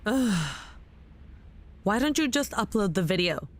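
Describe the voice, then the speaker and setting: A woman's voice: mid-range voice, sing-song, unhurried. A woman playing a shaken, disbelieving character, anxious and questioning, in an audio drama. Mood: worried. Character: shaken, disbelieving woman fearing for loved ones.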